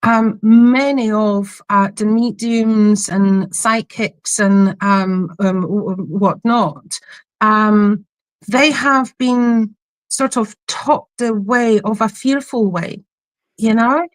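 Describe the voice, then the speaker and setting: A woman's voice: mid-range voice, steady tone, slow, dry recording. A woman with a Polish accent tinged with Scottish, a spiritual healer guest speaking hesitantly with many ums. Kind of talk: interview guest.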